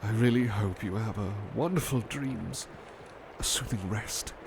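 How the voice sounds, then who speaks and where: A man playing a gentle, bashful butler turned into a cuddly plush doll in an ASMR roleplay, speaking tenderly and politely with hesitant pauses. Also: on the low side, background noise. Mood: sleepy, affectionate. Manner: hesitant, polite, tender, halting with soft repetitions. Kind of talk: ASMR roleplay, soothing personal attention.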